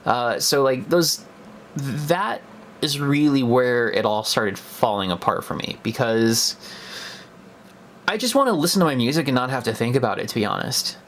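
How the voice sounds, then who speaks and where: A man's voice: medium pitch, animated, normal speed, fairly bright tone, a little hiss or hum. A young man giving a casual, unscripted product review in a hesitant, conversational way, as if thinking aloud.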